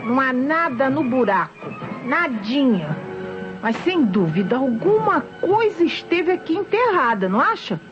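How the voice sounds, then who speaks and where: A woman's voice: sits high, very animated, unhurried. A Brazilian woman playing a police inspector's eager, chatty assistant in an old radio mystery. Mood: inquisitive. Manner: eager, informal. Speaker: radio actress. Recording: dull old radio recording with music.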